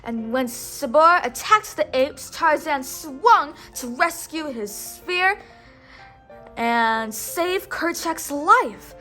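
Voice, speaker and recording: A woman with a high-pitched voice reads a children's story aloud at an unhurried pace. Her delivery is expressive and instructional, with words stressed for a young listener to repeat. Faint music plays behind her. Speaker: storybook read-aloud host. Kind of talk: children's read-aloud narration with call-and-response prompts.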